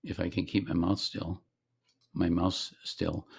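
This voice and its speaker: A man presenting a software demo in an informal, conversational way, as the founder showing his product on screen. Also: sits low, level tone, a little slow, gravelly, very noisy. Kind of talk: informal screen-share demonstration.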